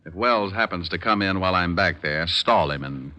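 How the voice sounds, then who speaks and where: A man's voice: low voice, expressive, moderate pace, sharp tone. An actor playing a confident, wry male private detective in an old radio crime drama. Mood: brisk, probing.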